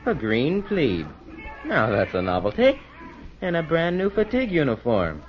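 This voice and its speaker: Through a dull, faintly noisy old radio recording comes an arrogant older cadet captain at a military school. The voice sits fairly high and moves with lively pitch, sneering and mocking as it gives orders in radio serial style. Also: male voice, normal speed. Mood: mocking, commanding.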